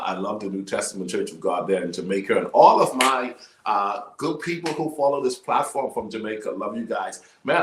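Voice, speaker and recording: Talk show host: man, lower register, emphatic conversational interviewer, clearly roomy recording. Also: a little hiss or hum.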